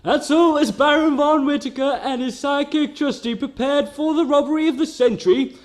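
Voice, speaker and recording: A man's voice: very high-pitched, a little fast. A man playing a fussy, formal narrator and legal adviser, speaking dramatically in a scripted radio comedy. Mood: dramatic.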